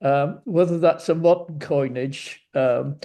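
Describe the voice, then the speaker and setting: A man with a Welsh accent and Welsh as his first language asks a question over a video call. His voice sits a little high for a man yet sounds mellow and warm. He speaks haltingly, filling gaps with uhs and ums. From Wales. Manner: hesitant, with many uhs and ums. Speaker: audience member asking a question.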